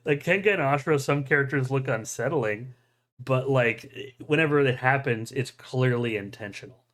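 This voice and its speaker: This is a man with an average-pitched voice in casual podcast conversation. He rambles with enthusiasm, doubling back as he explains things. Small chuckles often slip into his talk. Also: normal speed, fairly bright tone. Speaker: podcast host. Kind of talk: casual chat about anime.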